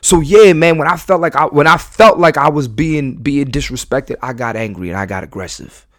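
Passionate rambling podcast host. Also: male voice, fairly high pitch, normal speed, fairly bright tone, no echo, clean studio sound. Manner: passionate, rambling with many restarts. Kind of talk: live podcast monologue to audience. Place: live venue.

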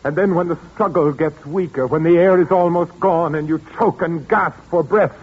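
An actor playing a suave, calmly menacing murderer who plays the organ, speaking softly and slowly with relish in an old radio drama. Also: male voice, fairly high pitch, slightly rough. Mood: gloating. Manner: soft, slow, relishing menace. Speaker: villain in a radio play. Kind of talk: 1940s radio acting. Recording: muffled old radio recording with organ music.

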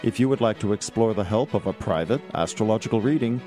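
Animated low-voiced man reading a promo. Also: fast, faint music behind. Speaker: astrologer advertising his service. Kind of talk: scripted radio promo.

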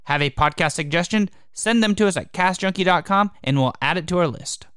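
Smooth-voiced man reading a podcast review. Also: high voice, a little fast, fairly bright tone, dry recording, clean studio sound. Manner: upbeat read-from-script delivery. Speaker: podcast review show host. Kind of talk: scripted podcast recommendation.

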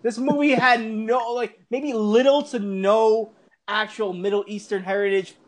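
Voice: medium pitch, steady tone, a little slow. Podcast host ranting about films, casual and sweary, really leans on words and repeats them. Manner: emphatic, repetitive, profane. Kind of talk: casual ranting film review.

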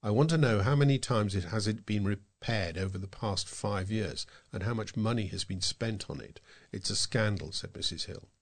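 Male, lower-voiced volunteer newsreader; measured delivery of scripted local news.

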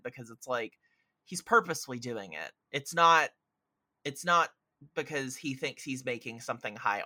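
A man's voice: mid-range voice, no room sound. A man hosting a podcast, talking about films in a casual, opinionated and emphatic way. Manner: animated, opinionated, profanity-prone, emphatic. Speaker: podcast host. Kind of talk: casual film discussion.

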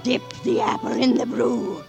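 A woman's voice: a little high, moderate pace, music in the background. A woman playing a wicked witch queen disguised as a toothless, cackling old hag in a 1940s radio drama. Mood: gloating, scheming. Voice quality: creaky, cackling. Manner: wheedling and gloating, muttering asides and shrieks.

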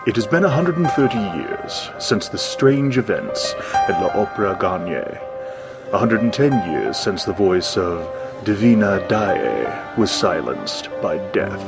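A man's voice: on the low side, sing-song, unhurried, gravelly. A man narrating a scripted dramatic trailer in a solemn, portentous manner. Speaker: promo narrator. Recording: loud music bed under voice.

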